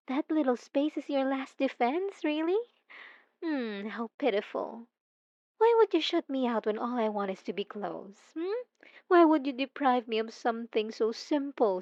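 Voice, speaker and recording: A woman's voice: high voice, dry recording, highs cut off. A woman voicing a possessive, obsessive female stalker in an intimate ASMR roleplay, coy and mocking, cooing with teasing menace. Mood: taunting, menacing, then wounded, pleading.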